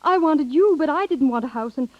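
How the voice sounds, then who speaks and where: An actress playing an independent, wistful young wife, tender but firm and hesitant, in a muffled 1930s radio drama. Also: female voice, high-pitched, expressive. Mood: wistful, resolute. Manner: hesitant pauses, earnest.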